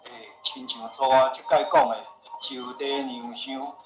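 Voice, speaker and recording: A man's voice: mid-range voice, level tone, measured pace, natural voice. Earnest Taiwanese shopkeeper in echoey room, played by a man. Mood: insistent, persuading. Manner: persuasive, plain-spoken, insistent. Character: earnest, insistent male pharmacy owner and worried homeowner. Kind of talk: radio drama acting. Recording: roomy echo with music behind.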